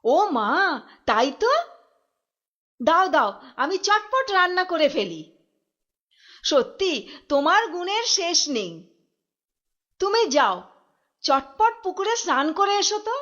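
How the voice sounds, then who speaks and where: A woman voicing an excitable, fussy village housewife in a comic cartoon, shrill and exclamatory. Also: takes it slowly, long pauses, dull audio, full character voice. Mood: delighted, gushing. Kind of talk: Bengali comic cartoon voice acting. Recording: very loud music behind the voice.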